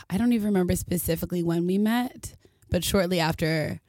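A young woman co-hosting a podcast, telling stories with animated enthusiasm. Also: on the low side, fairly quick, dry recording, clean studio sound. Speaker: podcast co-host. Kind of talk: casual podcast interview.

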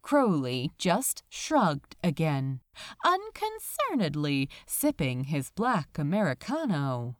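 A woman reading fiction aloud in an expressive, audiobook-style narration. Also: deep voice, takes it slowly, no room sound, studio quality. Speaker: fan fiction reader.

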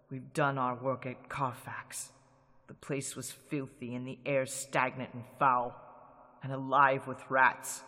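A man playing a hushed young journal writer. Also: mid-range voice, big-room sound. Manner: journal-entry narration, hushed and tense. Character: young male clerk writing a journal, anxious. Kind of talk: radio drama acting.

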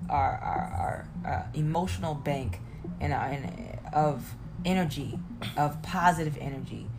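A woman with an American accent in a casual chat, animated, slangy and emphatic. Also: low-pitched, unhurried, noisy background. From south side of Atlanta, United States. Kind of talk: casual chat over drinks. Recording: music behind the voice. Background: music.

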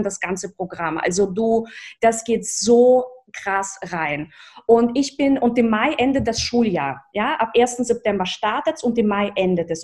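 A woman in her thirties whose first language is Russian, who grew up in Russia, telling her life story as an interview guest with many fillers and restarts. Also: a little high. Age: 30s. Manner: lively, reflective, frequent fillers and restarts. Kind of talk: personal storytelling in a talk.

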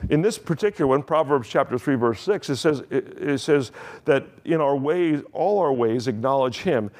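A man's voice: mid-range voice, expressive, normal speed, a little mellow. A male pastor preaching a sermon in a conversational way, asking the congregation questions and often restarting his phrases.

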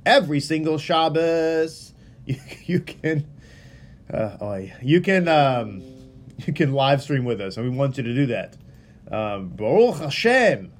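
Rabbi guy chatting to his livestream, earnest, keeps tripping over his words and circling back, middle-pitched voice. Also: very animated, unhurried. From Texas. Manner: rambling and earnest, with frequent stumbles and repeated words. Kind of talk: casual livestream welcome before a Torah reading.

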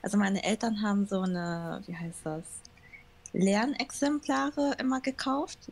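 A young woman whose first language is Japanese answers questions in a relaxed interview. She talks hesitantly, often pausing and adding fillers as she searches for words. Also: mid-range voice, gravelly. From Germany. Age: 20s. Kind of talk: casual interview.

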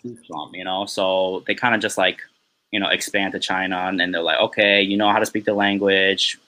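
A young man speaks as a podcast guest in a bright, forceful voice. He rambles and pads his sentences with "you know" and "um". The talk is relaxed and conversational. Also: deep voice, fairly quick, rough recording. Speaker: podcast guest, film assistant director. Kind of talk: casual interview chat.

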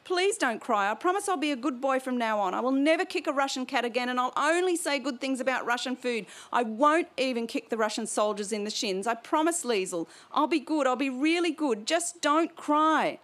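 A woman's voice: sits high, animated, normal speed, hardly any pauses, a bit bright. A woman reading aloud and voicing an anxious young German boy who pleads in a wobbly voice. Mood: pleading.

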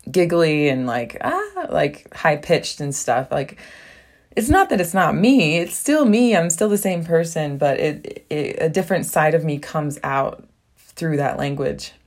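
A woman's voice: low voice, lively intonation, measured pace, a little mellow. A young woman hosting a casual solo podcast from a small bathroom at home, rambling with lots of fillers and frequent laughs. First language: English. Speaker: podcast host.

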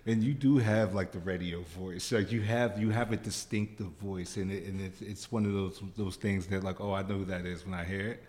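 A man hosting a podcast in casual chat, halting and repeating himself with many fillers. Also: sits low, steady tone, a little mellow, big-room sound. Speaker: podcast host.

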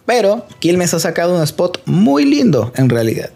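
Chatty higher-voiced man explaining news. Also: big pitch swings, moderate pace, vocal fry, warm tone, faint music behind. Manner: explains at length, chatty, playful asides. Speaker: co-host. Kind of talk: casual podcast chat about football news.

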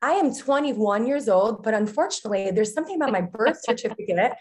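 A woman's voice: fairly high pitch, lively intonation, a little fast, a little mellow. A woman in her forties from New Jersey, a guest pastor chatting casually on a podcast, bubbly and laughing often as she speaks with faith-filled enthusiasm. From New Jersey, USA. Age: 40s.

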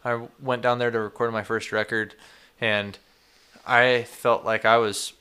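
Young dude from texas, chill, lots of "like" and "dude", clear bright voice. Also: male voice, mid-range voice, flat delivery, a little slow. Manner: laid-back, swears often, says "dude" and "like". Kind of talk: casual chat.

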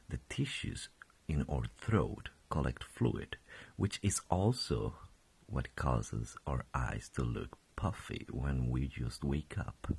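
Gravelly deep-voiced young man, just woken. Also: a little mellow. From Colombia. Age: 20s. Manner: rambling, self-correcting, chuckling. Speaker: podcast host. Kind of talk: casual solo podcast.

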